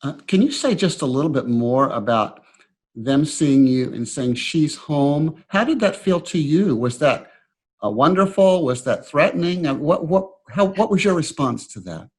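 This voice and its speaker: An older man hosts the conversation and asks searching questions. His speech is halting, with frequent restarts. His voice sits a little high for a man. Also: lively intonation, husky. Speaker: interviewer host. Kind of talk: interview questions.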